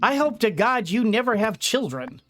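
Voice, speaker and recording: A woman giving casual video game commentary, halting as she thinks aloud. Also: on the high side, big pitch swings, a bit bright. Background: game music.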